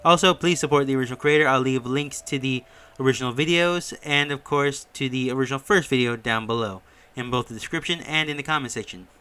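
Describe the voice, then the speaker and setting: A man's voice: mid-range voice, fast talker, pushed and bright, clean tone. A man narrating an informal recap and review voice-over in a conversational, explanatory storytelling way, as a video creator would. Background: music.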